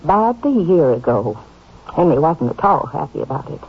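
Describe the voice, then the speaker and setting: A muffled old radio recording of a small-town American aunt. Her voice is husky, and she speaks politely and chattily with a troubled, obliging manner. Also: deep voice, sing-song, normal speed, heavily acted. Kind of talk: 1950s radio drama acting.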